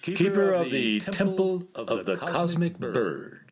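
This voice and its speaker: An actor playing the grave, slow-speaking male keeper of an alien temple in a children's radio play. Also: on the high side, sing-song, a loud crowd. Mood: solemn. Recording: music bed under voice.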